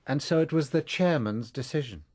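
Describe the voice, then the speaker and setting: An actor playing an earnest, humane doctor and detective's companion in a radio play, measured and sober. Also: male voice, fairly high pitch, expressive, a little mellow. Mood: firm, probing. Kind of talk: radio drama acting.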